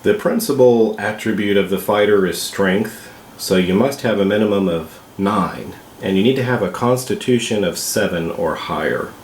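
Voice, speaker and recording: A man with a cold, sounding congested, hosting a casual vlog and explaining things slowly with many pauses and fillers. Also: lowish voice, roomy sound, a little hiss or hum. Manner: slow, hesitant, with many fillers. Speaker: vlog host.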